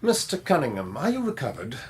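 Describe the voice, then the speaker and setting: An earnest English police inspector in a radio play. His medium-pitched voice moves expressively as he questions firmly and methodically, with faint noise behind. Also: huge pitch swings. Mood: polite, probing. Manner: measured, firm questioning. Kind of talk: radio drama acting.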